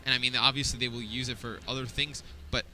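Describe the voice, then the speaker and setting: A man's voice: mid-range voice, fairly even intonation, fast talker, pushed and bright. A young adult man giving analytical esports color commentary, thinking aloud with fillers. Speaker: esports caster.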